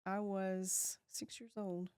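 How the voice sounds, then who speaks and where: A woman's voice: medium pitch, little pitch movement, slow pace, a little mellow, smooth, dry recording, clean studio sound. A woman, chatting as an interview guest, laughing often and trailing off mid-sentence. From Texas, USA. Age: 50s. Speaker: guest, shop owner. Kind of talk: casual interview chat.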